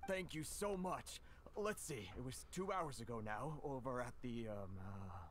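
A man playing an anxious, deferential gentleman merchant with a hesitant, polite manner in a video game. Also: medium pitch, expressive, unhurried, slight background noise, lightly acted. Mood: earnest.

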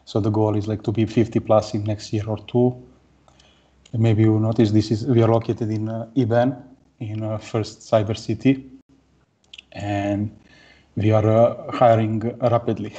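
A man, giving a tech conference talk over a video call in a plain, steady way with many pauses. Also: lowish voice, husky, dull audio. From Serbia. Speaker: conference speaker. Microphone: headset or laptop mic on video call.

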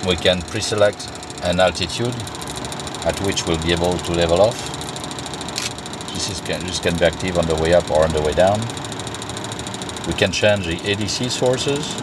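A man's voice: deep voice, normal speed, long pauses. A male test pilot giving a methodical, step-by-step walkthrough of a helicopter cockpit, recorded on a handheld camera on an airfield. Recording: loud engine and outdoor noise.

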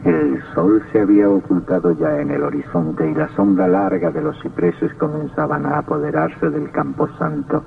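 An actor playing a sinister, darkly gloating count who narrates an old radio horror drama in a theatrical, macabre manner. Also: male voice, a little high, expressive, moderate pace, gravelly, hardly any pauses, full character voice. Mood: macabre, brooding. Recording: tinny, distant old radio recording.

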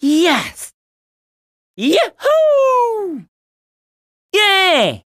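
An actor playing a cocky, upbeat young hedgehog hero in a video game, with a brash, casual, self-assured delivery. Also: male voice, very high voice, huge pitch swings, very slow, a bit bright, no echo, studio quality, exaggerated voice. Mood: excited. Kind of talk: Japanese video game voice clips.

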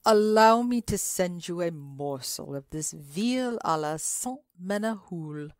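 A woman voicing a genial, courtly elderly gentleman host in a story. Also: lowish voice, lively intonation, slow, no room sound, clean studio sound. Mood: courteous. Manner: courtly, hospitable.

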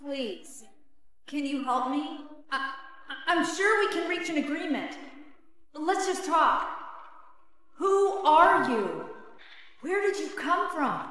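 A woman's voice: sits high, expressive, slow, long pauses, a bit bright, a little hiss or hum, exaggerated voice. An actress playing an earnest, determined young woman trapped in an inky cartoon world in a video game, speaking conversationally and expressively. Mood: pleading, then desperate. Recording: echoey hall reverb.

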